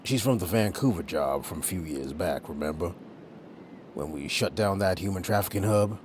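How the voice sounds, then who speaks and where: A man's voice: deep voice, expressive, normal speed, darkish tone, a little hiss or hum. A man playing a weary, long-suffering but good-natured agent in an audio drama, with a dry, understated manner. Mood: dry, resigned.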